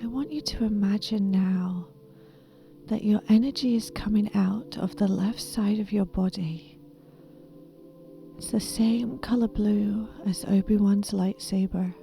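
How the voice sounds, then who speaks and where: A woman with a soft, breathy, whispery voice gently and soothingly guiding a meditation in a deliberate manner. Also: medium pitch, steady tone, faint music behind. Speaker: meditation guide. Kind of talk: guided meditation, soft half-whispered narration.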